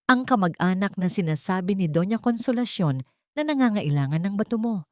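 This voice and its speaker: A woman's voice: medium pitch, lively intonation, a little fast, no room sound, close to an everyday voice. A woman voicing a frightened helper giving urgent warnings in a story drama. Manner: fearful, urgent.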